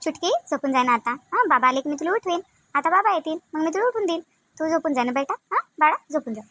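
A woman voicing a dutiful, gentle young wife and mother in a family cartoon, soft and coaxing, with a Varhadi Marathi accent. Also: high voice, lively intonation, quick pace. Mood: tender, coaxing. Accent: Varhadi Marathi of Vidarbha.

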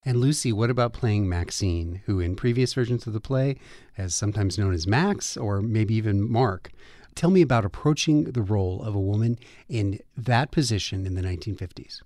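A man's voice: lowish voice, lively intonation, normal speed, dark tone, no echo, studio quality. An American man working as a radio interviewer, putting questions to his guests.

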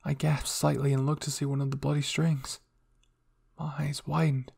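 A man narrates a story in the first person with dramatic flair. His voice is slightly high and breathy-rough, with plenty of fry. He moves between hushed and intense delivery. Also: level tone, normal speed. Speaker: audio fan fiction reader.

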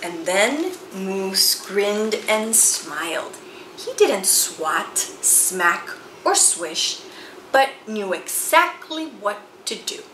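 A woman in her forties reading a children's picture book aloud in a room with bookshelves, telling the story slowly and expressively. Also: medium pitch, echoey room, background noise. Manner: expressive storytelling, slow and animated. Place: room with bookshelves and chalkboard, indoors.

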